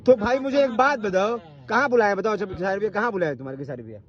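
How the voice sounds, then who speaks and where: A man's voice: expressive, rapid-fire. A man in a heated argument on a recorded phone call, confrontational and repetitive, often cutting in and swearing. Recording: muffled, low-fidelity phone call recording.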